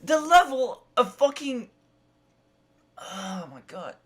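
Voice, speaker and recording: Animated, bright, higher-voiced man, exasperated and sweary. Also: slow pace. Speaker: co-host of a visual novel rant show. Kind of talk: casual banter between friends.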